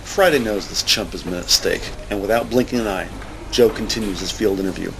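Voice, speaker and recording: A man's voice: fairly low pitch, a little fast, creaky, noisy background. A man reading scripted, present-tense narration for an audio drama as its narrator. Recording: music bed under the voice. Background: music.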